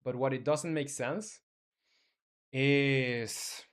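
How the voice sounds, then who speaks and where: A young man from Chile, a native Spanish speaker with a Chilean accent, chatting casually on a live game-dev stream and reading viewer comments with halting restarts and fillers. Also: medium pitch, fairly even intonation, fairly bright tone, smooth.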